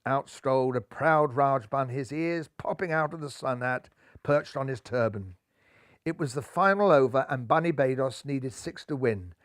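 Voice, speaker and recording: An older man reading a children's picture book aloud, lively, lifting his voice for the characters. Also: moderate pace, no echo, studio quality. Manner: lively, raises pitch and volume for characters' lines. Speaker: storybook reader. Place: living room, seated in armchair.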